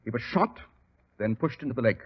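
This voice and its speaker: An actor playing a brisk, imperious English detective with a British accent in a 1940s radio drama, clipped, formal and dryly dismissive. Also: male voice, mid-range voice, expressive, natural voice. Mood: dry, commanding. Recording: dull, noisy old radio recording.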